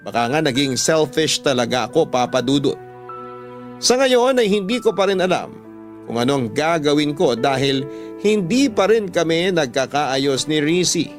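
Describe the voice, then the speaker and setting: A man with a fairly high-pitched voice and a Filipino accent reads a listener's letter as a radio host. It is first-person storytelling, with music playing underneath.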